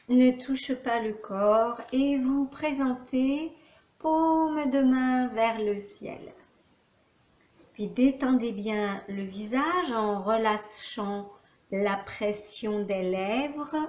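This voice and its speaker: A woman teaching yoga nidra, giving calm, measured relaxation instructions with long pauses. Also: fairly high pitch. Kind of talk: guided relaxation meditation. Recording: roomy echo, faint background noise.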